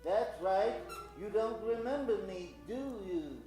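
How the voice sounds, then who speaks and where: A man playing an elderly, heroic scientist in an improvised old-time radio comedy, calm and defiant with deliberate dramatic delivery. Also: sits high. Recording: echoey room from far off, music behind.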